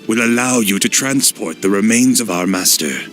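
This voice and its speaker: Man playing obsequious cultist, forceful edged voice, formal, deferential, fantasy video game, light music behind. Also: on the low side, moderate pace, lightly acted. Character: deferential, zealous male cult necromancer servant.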